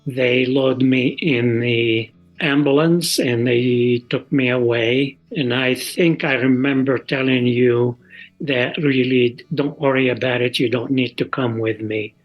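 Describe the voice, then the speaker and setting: A mature man remembers aloud at a very slow pace, in a mid-pitched voice. He is calm and plain-spoken, halting as he pieces the memory together, with soft guitar music behind him. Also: dull audio. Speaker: father recounting his own experience. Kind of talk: unscripted spoken recollection.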